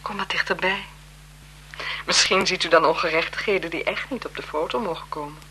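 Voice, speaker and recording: An actress in a radio drama playing an elegant, flirtatious marquise, speaking in a coy, teasing, genteel manner with languid self-assurance. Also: female voice, on the low side, fairly quick, bright, forceful tone, slight background noise, poor audio. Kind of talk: 1970s Dutch radio drama acting.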